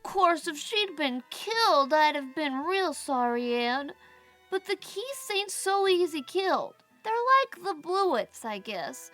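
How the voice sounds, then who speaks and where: A very high, bright little boy's voice put on by a woman narrator, blunt and defiant in a storybook reading. He drags out his words like a complaining child, with music faintly behind him. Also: sing-song, heavily acted. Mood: defiant, matter-of-fact. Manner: childish, drawn-out, complaining delivery. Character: defiant, bitter, blunt-talking little boy. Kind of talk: audiobook character voice.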